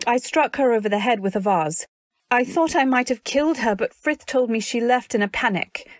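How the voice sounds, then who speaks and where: A woman's voice: on the high side, expressive, normal speed, dry recording, natural voice. A woman playing a fiercely loyal, protective wife in a radio drama, speaking earnestly and with dramatic emphasis. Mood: urgent. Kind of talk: old-time radio drama acting with first-person narration.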